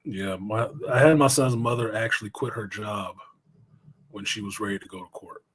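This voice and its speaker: A man's voice: on the low side, moderate pace, a bit bright, husky. A middle-aged man speaking as an interview guest, telling his own story in a blunt, plainspoken way and cursing now and then.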